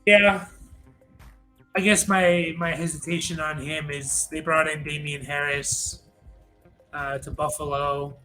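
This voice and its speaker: A man's voice: a little high, steady tone, takes it slowly, long pauses, pushed and bright, soft background music, rough recording. A man hosting a fantasy football show in a casual, conversational sports rankings style.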